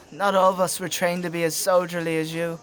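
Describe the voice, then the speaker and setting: An actor playing an informal, good-natured, grumbling squire who flusters easily, in a dark fantasy audio drama. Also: male voice, high-pitched, little pitch movement, normal speed. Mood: grumbling, teasing. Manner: informal, teasing, complaining.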